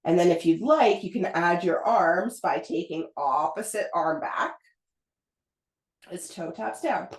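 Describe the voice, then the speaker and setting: Hoarse lady teaching pilates, calm encouraging cues, roomy echo. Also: fairly low pitch, a little slow. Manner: calm, encouraging step-by-step cueing. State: hoarse, getting over a cold. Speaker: pilates instructor. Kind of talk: guided pilates class cues.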